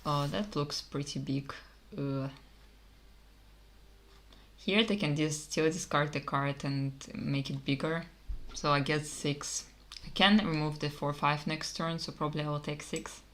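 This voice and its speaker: Female game streamer with a low voice, muses slowly over each move with lots of ums. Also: steady tone, fairly bright tone, slight background noise. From Kazakhstan. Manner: hesitant, musing, many pauses and fillers. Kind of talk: thinking aloud while playing a digital card game.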